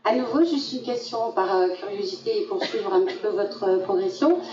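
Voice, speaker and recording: A woman's voice: medium pitch, fairly quick, lo-fi sound. A woman in the audience asking a polite, curious question. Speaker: audience member. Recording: distant roomy sound with crowd.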